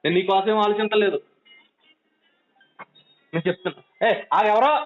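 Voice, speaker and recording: A man's voice: high voice, moderate pace, soft background music. A man with a coastal Andhra Telugu accent, pleading urgently and insistently on a phone call, admonishing and repeating himself. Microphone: telephone. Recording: tinny narrowband phone call.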